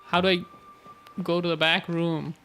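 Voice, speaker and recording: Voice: medium pitch, little pitch movement, moderate pace, bright, forceful tone. A streamer giving casual gameplay commentary, rambling and thinking aloud. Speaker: video game streamer. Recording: game music behind voice.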